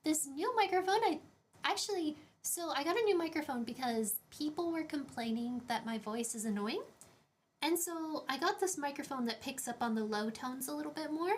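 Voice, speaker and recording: A woman streamer with a higher-pitched voice, chatting casually on a live stream in a rambling way with many fillers and restarts, picked up by a shotgun mic set a few feet away. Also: expressive, moderate pace, a little hiss or hum. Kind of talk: casual live-stream chat, reading chat questions. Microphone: shotgun condenser mic about five feet away.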